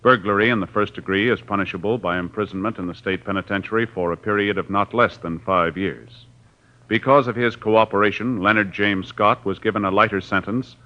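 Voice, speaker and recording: Announcer guy reading court stuff, lowish voice, dull muffled old radio sound. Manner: measured, formal official reading.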